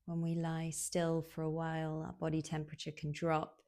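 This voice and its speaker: A woman guiding a relaxation meditation in a soothing, unhurried way, leaving long pauses, with soft, breathy phrase endings. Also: low voice, monotone. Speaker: yoga nidra guide.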